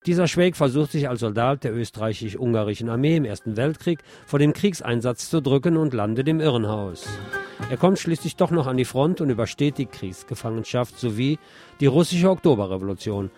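A man's voice: medium pitch, animated, brisk, mellow. Radio man over music. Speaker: radio host. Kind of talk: scripted radio narration. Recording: music behind the voice.

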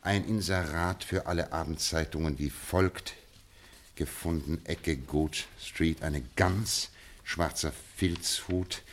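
Detective, very deep voice, measured, authoritative, 1950s radio drama. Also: male voice, fairly even intonation, slight background noise. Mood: dictating. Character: sharp, commanding male detective, middle-aged. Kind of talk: 1950s German radio play acting.

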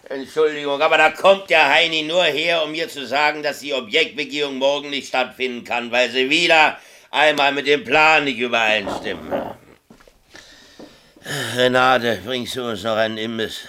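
An actor in a 1970s East German radio play, playing a harried but jovial middle-aged local official who talks colloquially and hesitantly, with many tag questions. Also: male voice, mid-range voice, steady tone, normal speed, bright, forceful tone, clean tone, close to an everyday voice. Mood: irritated.

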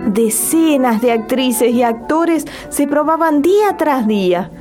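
Brief: female narrator for a scripted radio documentary, high voice, very lively melody, music clearly behind. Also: slow pace.

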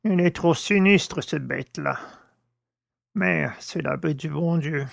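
A man playing a gruff, superstitious old servant who grumbles uneasily in rustic, exclamatory talk in an audiobook drama. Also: fairly high pitch, a little slow, no echo, clean studio sound. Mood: ominous, superstitious.

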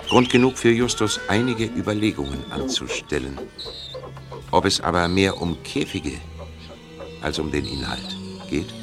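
A man narrating an audio drama in a measured, wry, musing manner. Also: low voice. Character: wry, deliberate male story narrator. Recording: clear music bed underneath.

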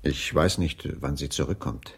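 An actor playing a gloomy, suspicious husband in a radio crime drama, brooding and halting when questioned. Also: male voice, heavily acted. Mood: guarded. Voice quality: gravelly, husky low voice. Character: gloomy, suspicious dining-car waiter, husband of an injured woman.

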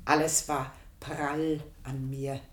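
A woman's voice: deep voice, little pitch movement, reverberant. An actress playing an earthy, proud, aging housemaid, confiding her memories in a radio play monologue. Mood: wistful, frank. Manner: confiding, unhurried storytelling with pointed pauses. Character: earthy, proud aging housemaid reminiscing about past desires.

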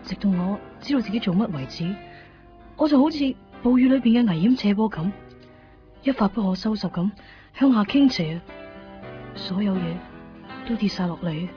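A woman acting a conflicted young woman in a Cantonese radio drama, speaking with a Hong Kong accent in halting, introspective phrases. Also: mid-range voice, animated, natural voice. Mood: brooding. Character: conflicted young woman torn in love, brooding and evasive. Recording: dull lo-fi 1990s radio recording, music behind. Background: background music.

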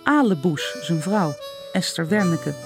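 A woman's voice: on the low side, expressive, measured pace. A woman reading scripted closing credits as a radio announcer. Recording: music playing under the voice.

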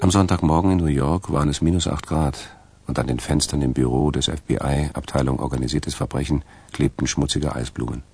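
A man narrating a crime radio play in a measured, wry, literary way. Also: rumbling low, steady tone, background noise. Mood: dry, wry. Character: dry male narrator of a crime story.

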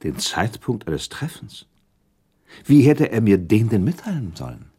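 Coolly ironic accused prince, period radio drama: husky, lively range, deliberate formal delivery. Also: male voice, fairly low pitch, full character voice. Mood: sceptical, challenging. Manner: formal, deliberate, sardonic, questioning. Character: proud male prince accused of murder, guarded, coolly ironic. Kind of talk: German radio play acting, period dialogue.

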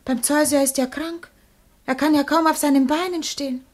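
A woman's voice: sits high, fairly even intonation, normal speed, lightly acted. A woman in a German radio drama playing a vain, lovesick old woman of ancient Greece, plaintive, sighing and declamatory. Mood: indignant.

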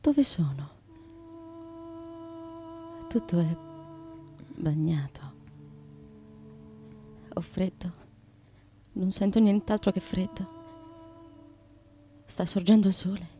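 A woman's voice: lowish voice, slow, long pauses, mellow, faint music behind. A woman acting the bewildered, lost wife of an ancient Greek tyrant, in a disoriented, questioning, fragmented theatrical monologue for radio. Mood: dazed, cold.

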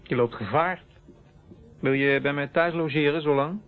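An actor playing an inquisitive, helpful junior police detective in a radio drama, questioning, on a narrow old radio recording. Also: male voice, a little high, normal speed, close to an everyday voice. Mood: concerned.

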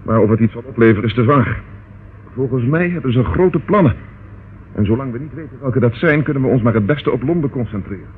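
Police subordinate, male actor: brisk, rapid, formal reporting; old radio drama, narrow noisy band. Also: mid-range voice, expressive, close to an everyday voice. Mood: businesslike. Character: dutiful, clipped police subordinate reporting to his superior. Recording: phone-like, noisy old radio play recording.

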